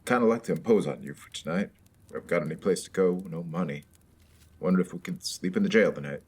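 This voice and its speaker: A man playing a weary, dispossessed frontier farmer in a radio western, with a husky, folksy, resigned delivery. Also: deep voice, quick pace. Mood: humble. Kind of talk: old-time radio western acting.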